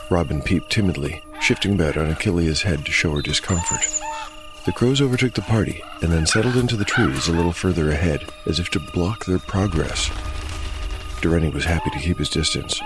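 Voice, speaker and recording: A man acting as game master and narrator of a dark fantasy audio drama. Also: sits low, brisk, over music.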